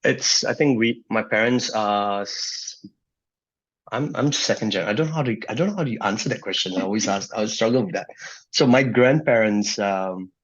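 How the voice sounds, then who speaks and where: A man's voice: fairly low pitch, normal speed, lo-fi sound. A man from Singapore in his thirties, a guest telling his story in a casual interview, full of restarts and fillers. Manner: rambling with many restarts and fillers.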